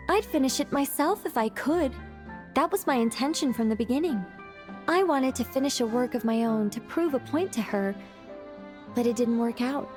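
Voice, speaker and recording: Faint music plays behind a woman acting as an ageless female mage in a game cutscene. Her voice is fairly high, and she speaks theatrically, with wry self-assurance and a wistful, musing streak. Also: darkish tone, natural voice. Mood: dramatic exasperation. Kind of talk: scripted game cutscene dialogue. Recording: background music.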